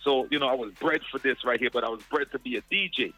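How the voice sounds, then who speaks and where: A man from Guyana with a Guyanese accent and a light Jamaican lilt, telling stories in a relaxed, casual interview as a guest musician. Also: mid-range voice, quick pace, faint music behind. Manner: laid-back, storytelling, repeats words as he restarts.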